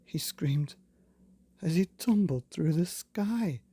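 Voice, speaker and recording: A man hosting and reading a children's bedtime story, with theatrical, dramatic emphasis and drawn-out words. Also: on the high side, animated, warm tone, husky, no echo. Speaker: podcast host and story reader.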